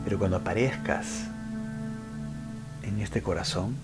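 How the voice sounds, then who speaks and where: A man with a fairly deep voice leads a guided meditation. He speaks very slowly, in short instructional phrases separated by pauses. Music plays clearly behind him. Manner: unhurried instructional delivery with frequent pauses. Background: background music.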